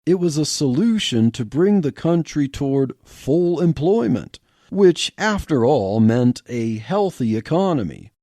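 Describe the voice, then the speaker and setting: A male podcast host reads a story aloud with animated, lively pitch. His voice is fairly high but mellow in tone, recorded dry and close. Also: measured pace, no room sound. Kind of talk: audiobook-style podcast story reading.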